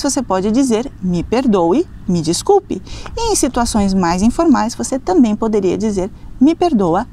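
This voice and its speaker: A woman in her forties teaches beginners in a clear, careful voice, repeating each example phrase. Her pitch sits a little high and moves up and down a lot. She records outdoors on a phone held at arm's length. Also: mellow. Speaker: language teacher. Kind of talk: beginner language lesson to camera. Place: outdoors on a seaside cliff. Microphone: phone camera at arm's length.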